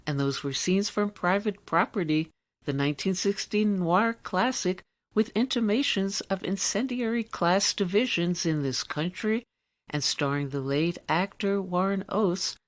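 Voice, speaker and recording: A woman's voice: medium pitch, a little slow. A woman presenting an arts radio show, reading scripted introductions. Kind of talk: scripted narration.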